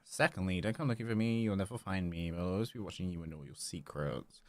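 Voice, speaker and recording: A man's voice: low-pitched, fast talker, a little mellow, no echo. A man doing casual, chatty live game commentary, playful and sometimes reading dialogue aloud. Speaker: game livestreamer.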